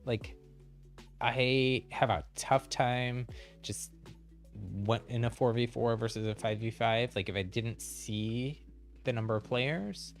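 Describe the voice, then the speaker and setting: A man's voice: mid-range voice, fairly even intonation, slow pace, soft background music. A man doing casual live gameplay commentary as a streamer, chatty and hesitant as he thinks aloud. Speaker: game streamer.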